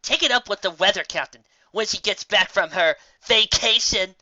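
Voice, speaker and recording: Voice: medium pitch, normal speed, pushed and bright, no echo, muffled recording, voice pushed up. A narrator voicing a brash, lazy young female pegasus pony with a flippant, dismissive drawl in a cartoon fan story. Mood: smug, flippant.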